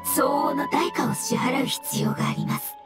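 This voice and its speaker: A woman's voice: sits high, normal speed, close to an everyday voice. A woman voicing a solemn, polite fungus spirit in a Japanese game, speaking formally and earnestly. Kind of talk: Japanese anime game dialogue. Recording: game music playing behind.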